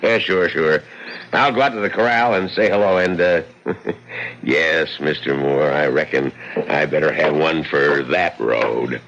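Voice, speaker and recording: A man acting in a radio drama as a folksy, cautionary Western local, with a gravelly voice and plain-spoken, serious delivery. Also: very deep voice, a little slow, a bit bright, a little hiss or hum, full character voice. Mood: easygoing. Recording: muffled old radio recording.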